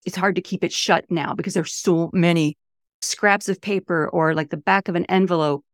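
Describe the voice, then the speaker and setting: A woman's voice: lowish voice, steady tone, moderate pace, no echo, studio quality. A woman in her fifties from the St. Louis area, an author chatting enthusiastically as a podcast guest. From St. Louis area, USA. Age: 50s. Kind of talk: casual interview talk.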